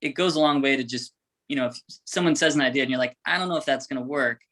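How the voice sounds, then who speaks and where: A young adult man speaks as an interviewed musician in a casual chat. His voice sits at a medium pitch, and he feels his way through thoughts in short, informal, halting phrases. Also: a bit bright. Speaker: indie rock band member being interviewed.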